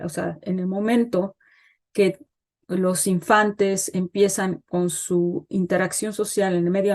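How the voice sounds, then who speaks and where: A woman's voice: medium pitch, darkish tone. Middle-aged woman, guest expert, thoughtful explainer who hesitates often with fillers. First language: Spanish. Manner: thoughtful, pauses with frequent fillers. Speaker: guest expert on child development. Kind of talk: explanatory interview talk.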